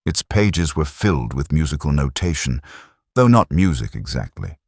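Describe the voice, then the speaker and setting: A man playing a brooding, literary police inspector who narrates his case in a measured, reflective, melancholic way, as in a scripted audio drama. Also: extremely low pitch, big pitch swings, darkish tone, slightly rough, dry recording, studio quality, heavily acted. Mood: reflective, sombre.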